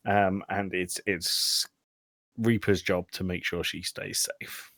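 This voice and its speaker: A man with a fairly low voice, recorded close and dry. He talks through a film as a podcast host, casually and informally, with dry jokes and some swearing. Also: level tone, slow, creaky, fairly bright tone, no echo. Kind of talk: casual film recap among friends.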